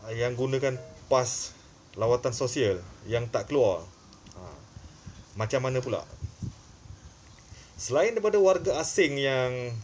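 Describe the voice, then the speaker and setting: Male channel host, Malaysian accent, mid-pitched, opinionated and emphatic off-the-cuff commentary, faintly noisy recording. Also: darkish tone.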